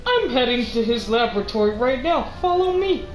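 A woman's voice: fairly high pitch, big pitch swings, fairly quick, voice pushed up. A woman voicing a playful, mischievous male skeleton showman from a spooky video game, with theatrical delivery. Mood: eager. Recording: roomy echo, game music behind.

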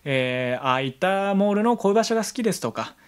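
A man's voice: high voice, expressive, fast, smooth. A young adult man co-hosting internet radio with playful, chatty banter into a studio microphone. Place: small indoor home-style radio studio. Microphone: podcast microphone on a stand.

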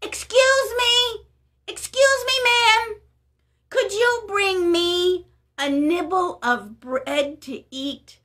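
A woman's voice: very high-pitched, lively intonation, slow, voice pushed up, heavily acted. A woman voicing a polite, hungry male prophet who politely asks for food and water in a storybook reading. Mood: pleading.

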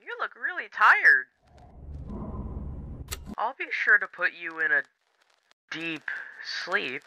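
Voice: medium pitch, huge pitch swings, takes it slowly, full character voice. An actor voicing a sleepy, sinister cartoon cat toy. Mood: soothing, ominous. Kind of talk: cartoon toy character voice lines. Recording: noisy recording with clicks.

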